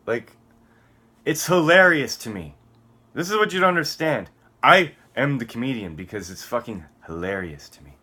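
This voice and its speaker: A man's voice: mid-range voice, lively intonation, normal speed, pushed and bright. A man on a rambling solo vlog rant, profane and combative, swinging between muttering and outbursts. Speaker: vlogger.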